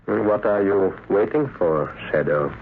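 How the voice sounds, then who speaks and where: An actor playing a suave, sinister doctor who runs a spy ring, measured and politely menacing, in 1930s radio drama style. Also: male voice, sits low. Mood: smug, deliberate. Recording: noisy, narrow-band 1938 radio broadcast.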